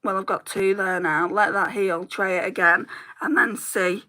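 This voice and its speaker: Chatty British woman with a bright voice. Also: mid-range voice, fairly even intonation, moderate pace. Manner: chatty, rambling, greets viewers by name. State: throat getting sore. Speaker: beauty vlogger, livestream host. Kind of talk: casual chat while doing makeup live.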